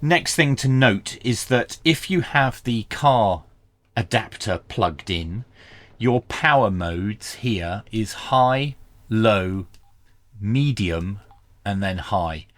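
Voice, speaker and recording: A man's voice: on the low side, expressive, slow pace, no echo. A man presenting a hands-on equipment review, explaining each step methodically in the style of a tutorial.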